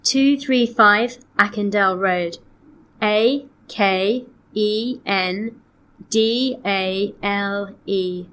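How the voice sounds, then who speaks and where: A woman playing a polite customer on a complaint call, reading scripted dialogue in a measured, clearly enunciated way. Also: medium pitch, fairly bright tone, a little hiss or hum, poor audio. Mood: polite, matter-of-fact. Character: polite woman customer phoning to report missing, damaged antiques. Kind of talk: scripted listening-test dialogue.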